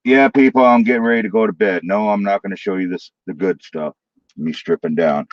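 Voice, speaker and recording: A man with a St. Louis accent hosting a late-night live stream from his room, joking loudly and swearing freely. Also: lowish voice, brisk, highs cut off. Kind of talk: casual live stream chat.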